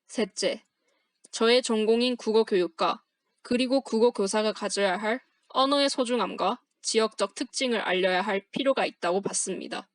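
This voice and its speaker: A young woman, a university student, reading a formal project presentation aloud in a steady, scripted manner. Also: on the high side, moderate pace, no room sound. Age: young adult.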